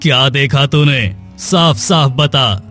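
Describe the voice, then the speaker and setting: A man's voice: mid-range voice, slow pace, fairly bright tone, soft background music. A man voicing a stern, formal king in a cartoon moral story. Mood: stern, suspicious. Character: stern, weighty king holding court.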